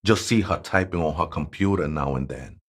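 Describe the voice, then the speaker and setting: A man's voice: extremely low pitch, a little fast. A male actor playing a streetwise, devout ex-drinker who preaches salvation in a role-playing game, with a Chicano Spanish-English accent and slangy, fervent talk. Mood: laid-back, coaxing.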